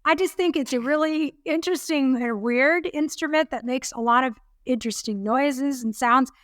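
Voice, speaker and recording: A woman's voice: high-pitched, normal speed, a bit bright, no room sound, studio quality. A woman in her fifties co-hosting a casual podcast, giving encouraging, rambling advice. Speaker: podcast co-host. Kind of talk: casual chat.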